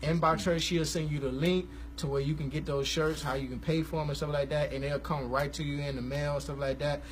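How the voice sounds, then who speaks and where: A man's voice: on the high side, fairly quick. Laid-back young man chatting on a live stream. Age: 20s. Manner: relaxed, rambling, slangy and upbeat. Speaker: gospel musician hosting a live stream. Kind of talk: casual live-stream chat.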